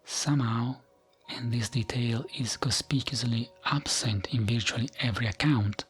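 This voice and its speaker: A man narrating fantasy lore as soothing ASMR, in a gentle, hushed voice that often slips into a whisper, with music behind him. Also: mid-range voice, steady tone, normal speed, breathy edge.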